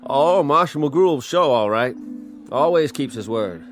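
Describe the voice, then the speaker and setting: An actor playing a nervous, chatty saloon bartender with eager, jittery speech. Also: male voice, medium pitch, expressive, a little slow, soft background music, close to an everyday voice.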